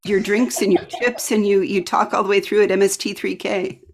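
A woman's voice: mid-range voice, steady tone, moderate pace. A woman asking questions and musing as a podcast panelist in a casual, conversational style.

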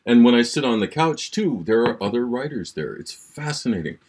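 A man's voice: big pitch swings, brisk, darkish tone. A man with a big voice, a poet and emcee on a podcast, talking in a playful, self-deprecating way. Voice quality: deep big voice. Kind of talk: casual chat.

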